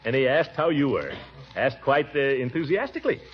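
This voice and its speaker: A man's voice: mid-range voice, big pitch swings, normal speed, soft background music, close to an everyday voice. A man playing a pompous, blustering middle-aged uncle in 1940s radio sitcom acting. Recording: dull 1940s radio broadcast with faint hiss.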